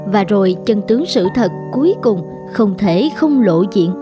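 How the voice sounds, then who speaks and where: A woman playing a caring, gently admonishing mother of young boys in a cartoon. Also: medium pitch, animated, moderate pace, close to an everyday voice. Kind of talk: cartoon voice acting. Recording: music under the dialogue.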